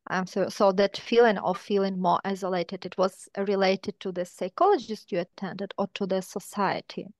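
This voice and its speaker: A woman's voice: mid-range voice, steady tone, normal speed, no echo, studio quality. A woman interpreting a webinar over a video call, phrasing her words carefully. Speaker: interpreter and moderator.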